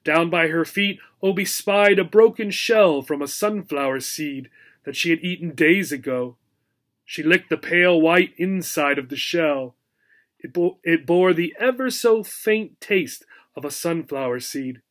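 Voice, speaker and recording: A man narrating a children's story as an audiobook reader, in an expressive storytelling manner. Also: on the high side, measured pace.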